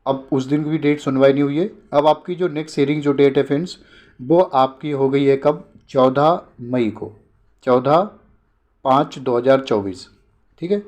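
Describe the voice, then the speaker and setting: A man's voice: mid-range voice, moderate pace, a little mellow. A man hosting an informal news explainer, repeating points and posing short questions that he answers himself. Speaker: host of an exam updates channel.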